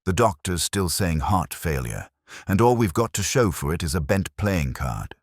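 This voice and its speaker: A man's voice: low-pitched, big pitch swings, normal speed, no room sound, studio quality. A man doing an impatient, doubtful police sergeant. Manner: impatient, incredulous.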